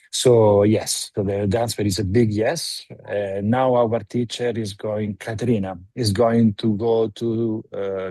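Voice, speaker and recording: Deep, mellow male education head. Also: no echo. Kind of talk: answering an audience question. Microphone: video call.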